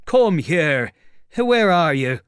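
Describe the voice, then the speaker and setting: A man's voice: on the high side, sing-song, measured pace, no room sound, studio quality, voice pushed up. A man voicing a grumbling, frightened middle-aged tramp in an audiobook, plaintive and exclamatory, stretching words for emphasis. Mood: alarmed, bewildered.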